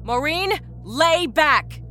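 A woman performing stressed female nurse, high sharp voice, urgent, short-tempered, audiobook drama. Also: lively intonation, moderate pace, faint music behind. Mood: alarmed, snapping. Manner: urgent, strained, stammering. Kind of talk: dramatic audiobook character acting.

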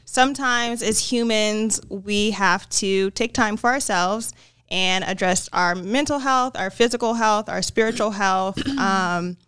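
Crisp, close-miked woman podcast host. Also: medium pitch, unhurried, no echo. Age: 30s. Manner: warm-hearted, giggly, upbeat. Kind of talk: casual chat.

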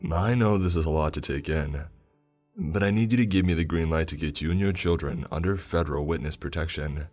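A man voicing a sincere federal agent who speaks earnestly and fast. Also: rumbling low, a little mellow, faint music behind. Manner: earnest, reassuring.

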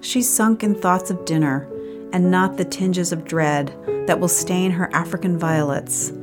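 Woman reading her poem, low voice, slow n measured, clear music underneath. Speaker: poet reading own poem. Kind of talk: literary poetry reading, measured.

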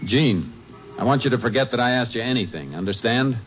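An actor playing a calm, methodical Texas Ranger in a 1950s radio drama, questioning in a steady, clipped, authoritative way. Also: male voice, medium pitch, brisk, faint music behind, lightly acted. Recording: muffled old radio broadcast with faint hiss.

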